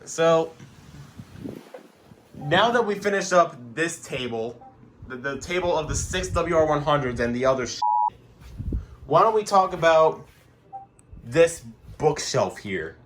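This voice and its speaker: Guy with a bright kinda high voice rambling about his gadgets at home, restarts sentences a lot, swears casually, faint hiss behind. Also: lively intonation, unhurried, pauses often. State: throat getting sore. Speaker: YouTuber showing his weather radio collection. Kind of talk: casual show-and-tell vlog. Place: at home at night.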